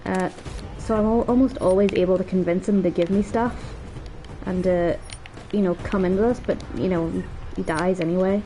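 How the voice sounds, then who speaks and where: A woman's voice: on the low side, fairly even intonation, moderate pace, warm tone, slight background noise. A woman giving casual, rambling, self-deprecating let's play commentary, her voice slightly stuffed up as if from a cold. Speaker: gaming video host.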